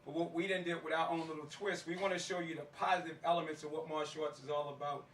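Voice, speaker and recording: A man's voice: a little high, little pitch movement, fast, a bit bright. A middle-aged American man, a martial arts teacher, talking informally to an audience in colloquial slang, repeating phrases for emphasis. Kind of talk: informal talk to a live audience. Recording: echoey room, distant mic, faint noise.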